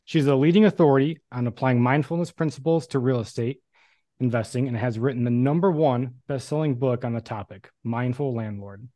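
A man co-hosting a podcast, reading a formal introduction of the guest in a steady, prepared delivery. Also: medium pitch, a little fast, no echo. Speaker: podcast co-host.

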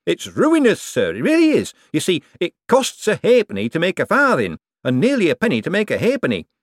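A man's voice: sits high, huge pitch swings, brisk, no echo, clean studio sound, pitched above the performer's own voice, heavily acted. A man voicing a sly, working-class male mint foreman who uses broad dialect grammar and keeps saying sir. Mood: wheedling.

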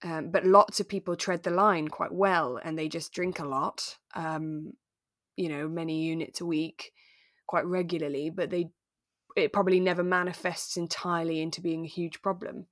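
A woman co-hosting a podcast, talking through a drama in a relaxed, thoughtful, explanatory way. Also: lowish voice, normal speed, no echo, clean studio sound. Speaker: podcast co-host. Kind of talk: relaxed conversational discussion of a radio drama.

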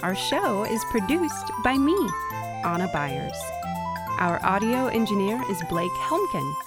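A woman's voice: medium pitch, sing-song, measured pace. A woman reading scripted production credits for a children's audio show. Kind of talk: scripted narration. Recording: loud music and jingle behind.

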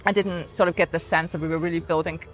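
A woman with a Danish accent and a somewhat low voice talks as a podcast guest. She tells her story conversationally and reflectively, rephrasing as she goes. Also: steady tone, fast, soft background music, low-quality recording. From Denmark.

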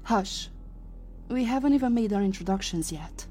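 A woman acting as a composed female leader of time travelers in a sci-fi audio drama. Her voice is mellow and mid-pitched, measured and authoritative, with faint music underneath. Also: expressive, close to an everyday voice.

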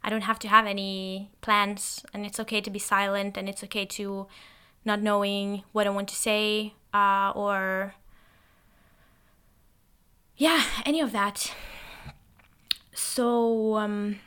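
Young norwegian woman, bright clear voice, tired, hesitant, thinking aloud. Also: mid-range voice, steady tone, takes it slowly, long pauses. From Norway. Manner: hesitant, reflective, many fillers. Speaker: podcast host. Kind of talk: casual solo monologue. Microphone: testing a new microphone.

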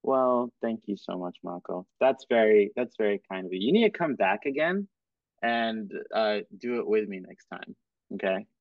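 A man who grew up in Cameroon and Kenya, hosting a podcast in a relaxed, encouraging way and laughing often. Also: lowish voice, normal speed, dry recording. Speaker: podcast host. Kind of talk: casual interview chat. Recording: tinny, dry phone-like sound.

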